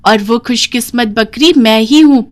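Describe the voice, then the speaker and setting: A woman voicing a vain, boastful female goat in an expressive animated storybook style. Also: a little high, unhurried, voice pushed up, lightly acted. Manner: proud, expressive cartoon delivery.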